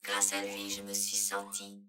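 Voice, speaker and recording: A woman voicing a vain female household robot in a parody dub, speaking in a flat, mechanical way through a robot filter. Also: rumbling low, unhurried, background noise. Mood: literal. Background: loud music.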